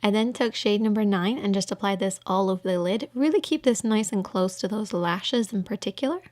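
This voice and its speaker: Female tutorial presenter, mid-pitched, informal step-by-step voice-over for beauty content. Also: fairly quick, darkish tone.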